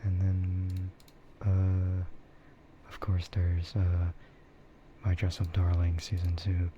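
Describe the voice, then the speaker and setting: A man streaming soft, breathy ASMR chat, hesitant and unhurried, often dropping into a whisper. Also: low voice, monotone. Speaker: streamer, VTuber. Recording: muffled, lo-fi, clearly noisy.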